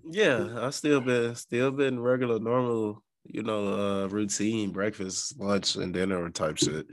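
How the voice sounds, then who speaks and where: A man's voice: on the low side, fairly even intonation, a little slow, dry recording. A man with a congested, stuffed-up nose hosting a podcast in casual, profane chat, often laughing. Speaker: podcast host.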